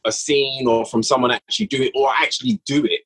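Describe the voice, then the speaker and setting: A man's voice: medium pitch, steady tone, fast talker, fairly bright tone, breathy edge. A man speaking casually as an interview guest on a podcast, an audio drama writer who repeats phrases for emphasis.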